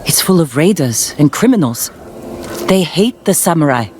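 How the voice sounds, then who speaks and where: An actress playing a worried, loyal ally in a cinematic video game, with an urgent, concerned manner. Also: female voice, sits low, sing-song, normal speed, a little mellow, slight background noise.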